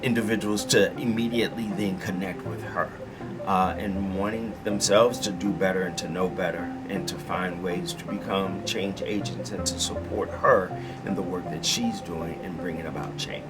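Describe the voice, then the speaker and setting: A male school leader gives an interview in a fairly low voice. Loud guitar music runs beneath his words. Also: steady tone, moderate pace, low-quality recording. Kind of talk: interview talk. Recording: prominent guitar music under voice.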